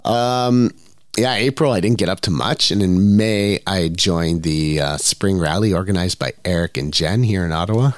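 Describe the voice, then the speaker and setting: A man's voice: deep voice, moderate pace, dry recording, clean studio sound. Stuffy-nosed man hosting a podcast. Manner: relaxed and rambling, with many fillers. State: stuffed up with a cold. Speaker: podcast host. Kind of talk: casual solo podcast monologue.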